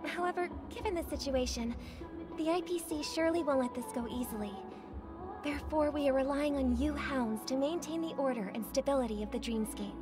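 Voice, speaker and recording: An actress playing a polite young superstar singer in a video game, speaking in a gracious, formal, poised way. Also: female voice, high-pitched, a little fast, close to an everyday voice. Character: polite young female superstar singer, secretly a mischievous impostor. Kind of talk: scripted game voice acting. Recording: loud game music behind.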